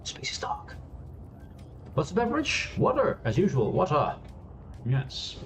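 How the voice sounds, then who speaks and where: A man streaming a space game, chatting loosely with many fillers and restarts, laughing now and then. He has a loud voice. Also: on the high side, wildly swooping pitch, a little slow, slight background noise. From Europe. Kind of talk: casual gameplay commentary. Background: quiet game music.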